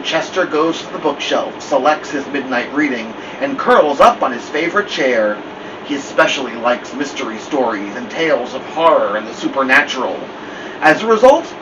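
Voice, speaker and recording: A man reading a children's chapter book aloud in an expressive storytelling style. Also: medium pitch. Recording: dull, distant mic, steady air-conditioning-like noise.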